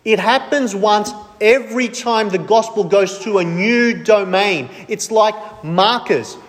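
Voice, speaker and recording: A male preacher with a notably high voice delivers a sermon. He speaks emphatically, putting questions to his listeners and answering them himself. His voice rings out with the long reverb of a large hall. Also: takes it slowly.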